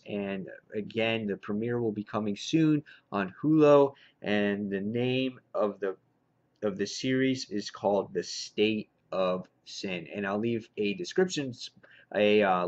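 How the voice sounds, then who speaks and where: Dull lo-fi recording, enthusiastic male vlogger. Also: on the low side. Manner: enthusiastic fan talk. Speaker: comic book reviewer hosting a haul video. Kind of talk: casual vlog chat, showing and commenting on purchases. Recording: dull lo-fi sound.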